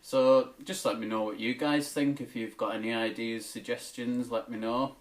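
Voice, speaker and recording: A man's voice: mid-range voice, fairly even intonation, normal speed. A British man chatting casually to camera as a YouTuber, in a rambling, tentative way, into a tablet's microphone. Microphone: tablet's built-in microphone.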